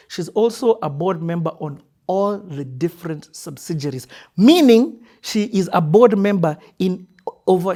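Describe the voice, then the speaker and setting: A man hosting a podcast, introducing his guest with rallying, crowd-pumping energy in her home. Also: a little high. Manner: hype-building, crowd-rallying delivery with loud surges. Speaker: podcast host. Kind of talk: energetic show introduction and conversation.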